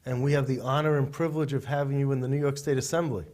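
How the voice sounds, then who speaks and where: A man's voice: mid-range voice, steady tone, rapid-fire, darkish tone. A man co-hosting an interview, asking questions in a friendly, chatty way. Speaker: co-host. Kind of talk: casual interview conversation.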